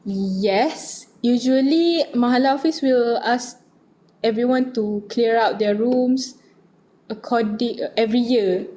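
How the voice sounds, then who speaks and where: A woman's voice: a little high, slow pace, dark tone, low-quality recording. Young Malaysian woman answering student questions. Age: young adult. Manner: mixes Malay and English, reads questions aloud then answers. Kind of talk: casual online Q&A session.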